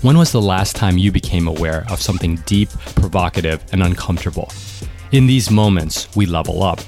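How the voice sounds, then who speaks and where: A low-pitched man hosts a podcast, steady and measured in his delivery. He reads a scripted opening and then chats with his guest, sometimes restarting his sentences. Faint music plays underneath. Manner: measured, with hesitant restarts in conversation. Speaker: podcast host. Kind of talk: scripted intro, then casual interview.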